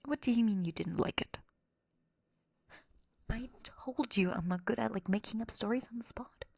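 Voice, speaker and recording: Woman, soft breathy whisper, crackly fry, quirky girlish ASMR storyteller, rambling and slangy. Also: on the high side, normal speed, no echo, studio quality. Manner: rambling, improvised teen-slang delivery. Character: quirky anime girl telling a clumsy bedtime story. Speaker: ASMR roleplay performer and streamer.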